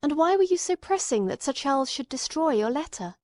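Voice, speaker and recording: Courteous but insistent gentleman investigator, read by a woman: highish voice, formal questioning, dry storybook sound. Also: expressive, brisk, no echo. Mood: stern, insistent. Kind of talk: dramatic audiobook character reading.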